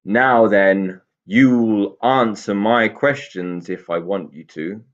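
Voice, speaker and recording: Guy doing a bossy angry goblin for a kids story, commanding n slow, bit lo-fi. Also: on the low side. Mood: gruff, demanding. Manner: loud, indignant, commanding. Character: cross, bossy, bullying male goblin. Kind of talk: children's storybook character reading.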